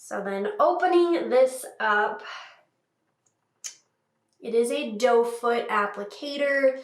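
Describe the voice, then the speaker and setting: A woman's voice: fairly high pitch, takes it slowly. Stuffy-nosed woman in an echoey room. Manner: chatty, upbeat, frequent "um" fillers. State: stuffy from a cold. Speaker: beauty vlogger. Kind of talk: casual makeup tutorial. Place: small room indoors.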